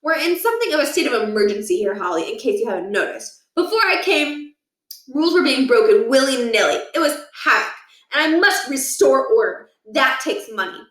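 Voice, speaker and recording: A woman's voice: high-pitched, very animated, fairly quick, a bit bright, voice pushed up. A woman voicing a pompous, power-hungry older male school principal in a children's story, lecturing with condescension and menace. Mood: domineering. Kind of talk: children's book read aloud. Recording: echoey room, distant from mic.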